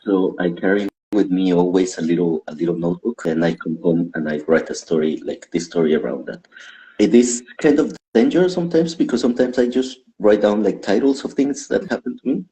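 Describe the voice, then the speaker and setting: A man's voice: low-pitched, brisk, warm tone, poor audio. A man from Guatemala, a stand-up comedian, chatting casually and self-deprecatingly as an interview guest. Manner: rambling, self-deprecating, laughs often.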